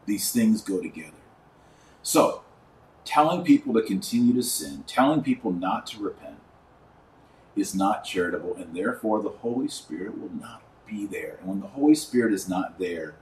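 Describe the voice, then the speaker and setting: A man hosting a religious podcast, giving earnest, emphatic preachy commentary with repeated phrases for rhetorical effect. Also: sits low. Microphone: wrong microphone picking up from a distance. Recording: echoey room, distant wrong microphone, faint noise.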